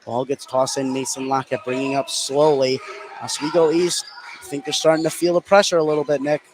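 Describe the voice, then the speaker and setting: A man's voice: medium pitch, little pitch movement, brisk, noisy background. A man doing live play-by-play commentary on a basketball game. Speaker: play-by-play sports announcer. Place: indoor high school basketball game.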